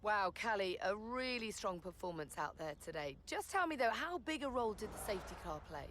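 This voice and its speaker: An actress playing a polite, probing motorsport reporter conducting an interview in a video game. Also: female voice, mid-range voice, big pitch swings, fairly quick, fairly bright tone, slight background noise. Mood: upbeat, probing. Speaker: sports reporter. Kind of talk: post-race interview acting in a video game.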